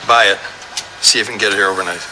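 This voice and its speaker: Man acting as male detective, low pitch, terse, matter-of-fact, hardboiled crime radio drama, noisy ambience. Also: steady tone, rapid-fire, lightly acted. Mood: brisk, commanding. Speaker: actor playing a detective.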